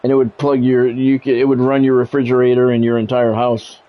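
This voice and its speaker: A man's voice: mid-range voice, completely flat delivery, fairly quick. Middle-aged man, dull lo-fi stream sound. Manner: blunt, profane, conversational. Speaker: game streamer and play-by-play commentator. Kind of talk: live football video game commentary mixed with casual chat.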